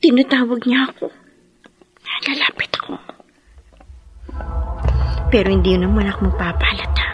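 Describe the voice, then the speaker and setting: A woman's voice: mid-range voice, normal speed, long pauses, dull audio, faint music behind, close to an everyday voice. A woman playing an anxious young wife and dutiful daughter in a horror radio drama, with emotional, tremulous delivery. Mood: troubled, subdued.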